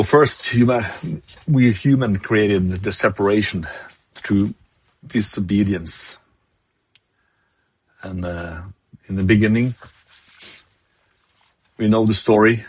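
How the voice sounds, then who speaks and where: A man from Norway with a Norwegian accent, giving a devotional sermon in English, his second language, pausing often to search for words. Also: lowish voice, husky, low-quality recording. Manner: halting, searching for words, many fillers. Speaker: lay preacher.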